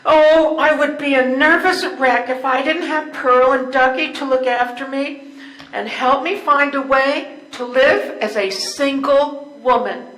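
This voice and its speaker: An older woman playing a lonely, neglected wife in an amateur stage reading, plaintive and deliberate. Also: high voice, fairly even intonation, a bit bright. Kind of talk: amateur readers theater play. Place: indoor live stage reading. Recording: echoey room.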